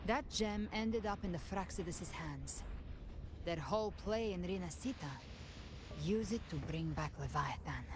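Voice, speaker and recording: A woman playing a cool, confident avenger who hunts monsters in a video game, speaking in a measured, dramatic way. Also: on the low side, animated, noisy background. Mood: grave, knowing. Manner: measured, dramatic, self-assured. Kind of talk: scripted video game voice acting. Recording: game audio with loud music behind. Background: loud game music.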